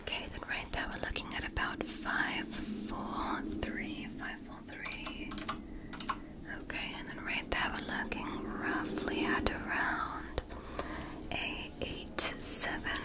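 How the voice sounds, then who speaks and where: A woman whispering as a gentle alien doctor. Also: slow pace, close to an everyday voice. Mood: soothing. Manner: soft, gentle, reassuring, clinically precise. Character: calm, clinical female alien doctor examining a human. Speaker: ASMR roleplay creator. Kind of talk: whispered ASMR roleplay.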